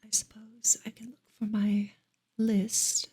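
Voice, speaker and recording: A woman ASMR streamer whispering softly and breathily as she chats to her viewers in a gentle, halting, unhurried way. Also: mid-range voice, completely flat delivery, husky. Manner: soft, unhurried, gentle, halting with long pauses. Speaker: ASMR livestream host.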